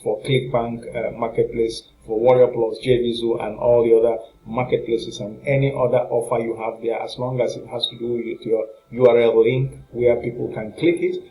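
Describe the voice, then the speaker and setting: Man pitching products, salesy and hesitant. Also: level tone, moderate pace, few pauses, mellow, poor audio. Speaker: online marketing product reviewer and channel host. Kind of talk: unscripted promotional review to viewers.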